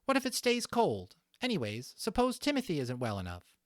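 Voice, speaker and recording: A man's voice: a little high, huge pitch swings, normal speed, no echo, clean studio sound. A man voicing an anxious eldest girl mouse in a storybook, concerned and questioning. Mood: worried protest. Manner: anxious, protesting.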